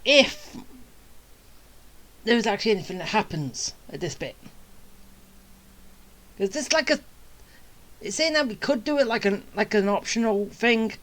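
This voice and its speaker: A woman giving casual gameplay commentary as a streamer, halting and thinking aloud. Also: medium pitch, expressive. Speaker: game streamer.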